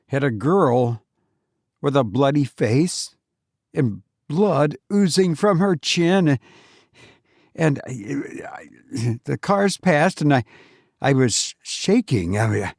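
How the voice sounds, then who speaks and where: A man hosting a podcast and telling stories informally in the first person, with a halting, hesitant delivery. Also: a little high, no echo, clean studio sound. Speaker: podcast host and narrator.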